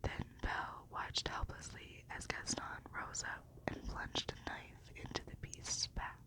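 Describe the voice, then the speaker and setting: A woman reading a storybook in a gentle, unhurried, breathy whisper in an ASMR style.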